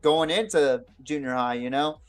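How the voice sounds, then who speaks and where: A man's voice: on the high side, moderate pace. A man hosting a casual, unscripted football podcast, talking bluntly with swearing and often repeating words as he goes. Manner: blunt, profane. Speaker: sports podcast host. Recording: music behind the voice. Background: music.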